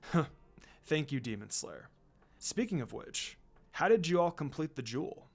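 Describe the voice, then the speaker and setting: A man voicing a gentle, curious, long-lost father in an anime fan story reading. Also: on the high side, sing-song, moderate pace, vocal fry, full character voice.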